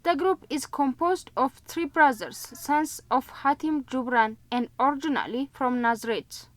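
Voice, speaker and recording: A woman news reporter delivering scripted narration in a measured, formal way. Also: high voice, no echo.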